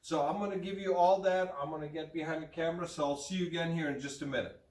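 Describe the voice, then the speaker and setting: A middle-aged rug dealer from Germany with a slight German accent, talking frankly to camera with fillers, recorded by a far camera in an indoor room. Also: male voice, a little high, fairly even intonation, fast, darkish tone. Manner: frank, plain-spoken, with fillers. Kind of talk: casual talk to camera. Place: room with hanging curtain, indoors. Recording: roomy, distant camera sound.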